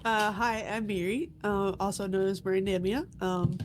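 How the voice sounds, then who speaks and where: A woman's voice: medium pitch, steady tone, normal speed, noisy background. A woman player with a stuffy, congested nose describing her character. She rambles loosely, with many filler words and frequent laughter.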